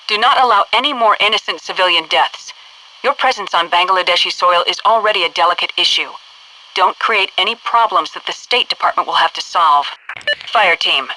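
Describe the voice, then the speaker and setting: A woman's voice: fairly low pitch, background noise. A woman playing a composed military headquarters officer with an American accent, giving clipped, formal, authoritative briefings through a radio filter in a video game. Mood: stern. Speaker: mission control officer. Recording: distant-sounding military radio transmission.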